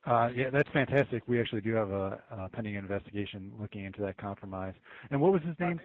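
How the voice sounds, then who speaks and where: An American man talks quickly on a dry, tinny phone connection. He runs the conference call in a businesslike way, as a law enforcement agent would. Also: on the low side, no echo. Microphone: telephone. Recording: tinny narrow-band phone conference line.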